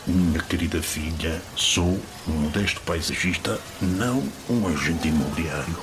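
An actor voices a pompous, courtly man of a comic radio soap. His European Portuguese is formal and reverent, in an extremely low register. There is clear noise on the recording. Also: normal speed, close to an everyday voice. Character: courteous, pompous, nostalgic male landscape architect. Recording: noisy recording.

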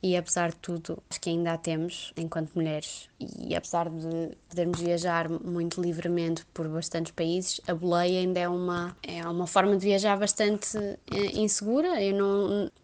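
A woman with a European Portuguese accent reflecting on her travel experiences in a measured way. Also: on the low side, little pitch movement, darkish tone. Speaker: guest sharing travel experience. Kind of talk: recorded personal testimony.

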